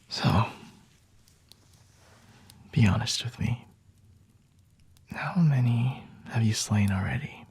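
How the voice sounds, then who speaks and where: A man voicing a smug, flirty vampire lord in an intimate ASMR roleplay, breathy and husky, often whispering, with a teasing, condescending, refined manner. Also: mid-range voice, full character voice. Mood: teasing, dry.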